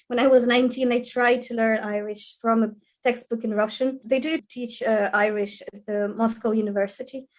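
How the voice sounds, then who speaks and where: A young Ukrainian woman with a Ukrainian accent, a lawyer and historian speaking earnestly as a podcast guest, often restarting her sentences. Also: fairly high pitch. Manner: quick, earnest, with many restarts. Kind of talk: podcast interview.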